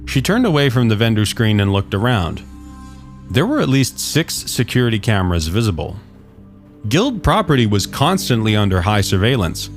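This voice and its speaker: A man's voice: fairly low pitch, very animated, normal speed, faint music behind. A man reading his own science fiction short story as a scripted audiobook narration. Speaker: author and narrator of short stories.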